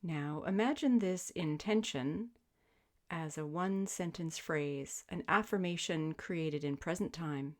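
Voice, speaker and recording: A woman with a low-pitched voice leads a guided relaxation as a yoga teacher. She gives her instructions gently and soothingly, as measured invitations with space left between them. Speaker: yoga nidra meditation teacher. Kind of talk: guided sleep meditation, spoken instruction.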